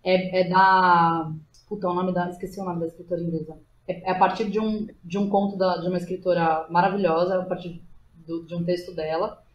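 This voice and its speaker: A woman's voice: fairly low pitch, level tone, brisk, muffled recording, slight background noise. A woman with a Brazilian accent speaking casually as an interview guest, colloquial, effusive and emphatic. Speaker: podcast guest, playwright and educator.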